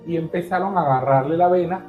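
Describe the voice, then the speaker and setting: A man from Caracas with a Venezuelan accent, telling his story as an interview guest in an earnest, halting way with many restarts. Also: fairly high pitch, echoey room, narrow, tinny audio, faint music behind. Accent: Venezuelan Spanish.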